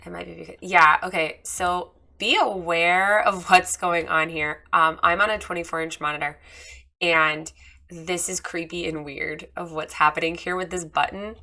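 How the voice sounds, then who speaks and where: A woman with a slightly hoarse voice after losing it, giving a candid, chatty review of a photographer's website with many fillers. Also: fairly low pitch, fairly even intonation, normal speed, bright, forceful tone. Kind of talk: casual screen-share critique.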